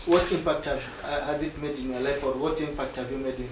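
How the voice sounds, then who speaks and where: A man's voice: mid-range voice, steady tone, fairly quick. A male journalist asking interview questions, often stumbling over and repeating his opening words. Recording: roomy with faint background noise.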